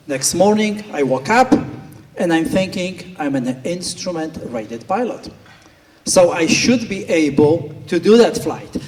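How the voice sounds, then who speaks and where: Middle-aged polish guy telling a flying story at a banquet, fairly high voice, heard from way off the mic with a long hall echo. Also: darkish tone. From Poland. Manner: earnest anecdotal telling with quoted inner voices. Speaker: flight instructor giving a speech. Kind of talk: storytelling speech at a gala. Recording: distant speaker in a reverberant hall.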